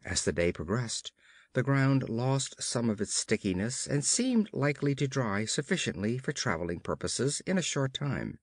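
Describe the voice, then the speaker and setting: Guy reading a scary story audiobook, real dramatic, pitch goes all over, dry close sound. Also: big pitch swings, moderate pace, no echo. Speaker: audiobook narrator. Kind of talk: dramatic scripted narration of a horror story.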